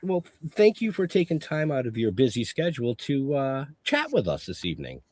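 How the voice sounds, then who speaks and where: A man's voice: medium pitch, huge pitch swings, normal speed, a little mellow, no echo, studio quality. A man hosting a casual online talk show, opening with hype and laughing often between lines. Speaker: podcast host. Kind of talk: casual chat.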